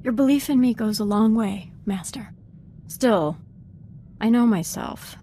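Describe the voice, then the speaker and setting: A woman's voice: a little high, a little slow, dark tone, a little hiss or hum, lightly acted. A woman playing a humble, self-doubting young Jedi apprentice in a video game, speaking formally and earnestly.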